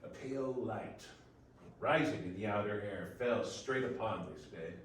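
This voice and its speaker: A man's voice: lowish voice, animated, unhurried. A man giving scripted story narration for readers theater, recorded at a distance in a very echoey room. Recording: very echoey room, distant mic, faint noise.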